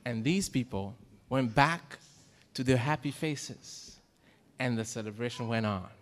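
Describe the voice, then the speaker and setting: A man's voice: mid-range voice, a little slow. A middle-aged man preaching a sermon from a pulpit microphone in a church, asking the congregation questions and rising to emphatic peaks. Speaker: pastor. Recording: long church hall reverb.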